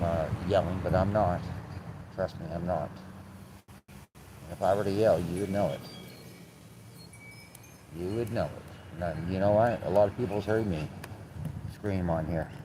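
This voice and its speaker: Blunt, low-voiced man swearing on a live stream. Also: noisy background. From Canada. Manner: blunt, swears freely, repeats himself. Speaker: livestream host. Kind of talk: casual live stream chat reading comments.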